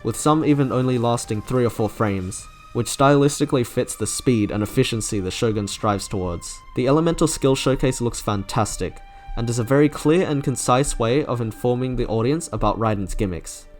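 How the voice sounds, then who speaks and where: A man delivers scripted review narration in the manner of an online video creator. His pitch is medium and his delivery is enthusiastic and opinionated. Music plays softly behind him. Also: normal speed. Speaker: video creator reviewing game character trailers.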